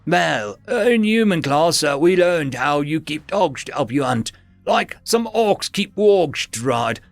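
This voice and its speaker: A man's voice: fairly high pitch, very animated, slow pace, creaky, no echo, soft background music, heavily acted. A man doing a blunt, bossy orc girl. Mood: puzzled. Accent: orc accent. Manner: firm and bossy. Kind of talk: storybook narration.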